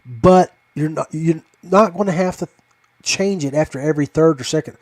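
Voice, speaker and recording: An older man hosting a fishing podcast, talking casually in a halting, rambling way. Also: fairly high pitch, darkish tone, no echo.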